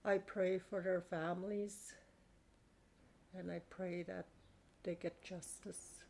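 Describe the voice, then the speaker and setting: A woman, a residential school survivor, speaking earnestly in a slow, halting personal testimony. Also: lowish voice, little pitch movement, creaky, a little mellow, a little hiss or hum.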